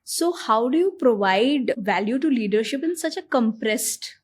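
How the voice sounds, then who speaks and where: A woman's voice: on the high side, expressive, normal speed, darkish tone, husky. A woman interviewing a guest, framing questions at length and checking in with "right?".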